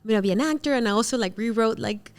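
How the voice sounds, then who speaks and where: Higher-pitched woman from Venezuela, native Spanish speaker. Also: racing. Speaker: panel host, actress. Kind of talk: casual panel conversation, personal storytelling.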